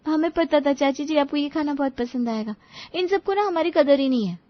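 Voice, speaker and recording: A woman voicing an eager, naive young daughter-in-law, respectful and earnest, in an animated moral story. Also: high voice, brisk, dry recording, lo-fi sound, lightly acted. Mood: eager, proud.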